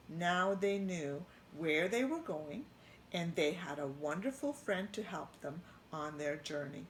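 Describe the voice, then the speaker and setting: A middle-aged woman reads a children's picture book aloud at an unhurried pace, pointing out the pictures as she goes. Her voice is fairly low, and her pitch rises and falls with lots of life. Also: darkish tone, a little hiss or hum, rough recording. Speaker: children's storytime reader.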